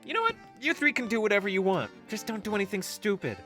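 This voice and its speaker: An exasperated, sarcastic young man played by a male actor in an audio drama, leading a band of misfits. His voice is fairly high and bright, theatrical in delivery, with music underneath. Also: very animated, quick pace, heavily acted.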